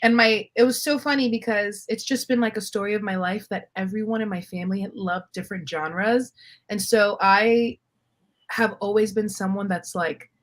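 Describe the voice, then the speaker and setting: Woman in her twenties from Miami, guest on a chat show. Animated, laughs easily, tells stories, mid-range voice. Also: mid-range voice, normal speed. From Miami, Florida. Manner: animated, laughs often, storytelling. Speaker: guest filmmaker. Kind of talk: casual interview chat.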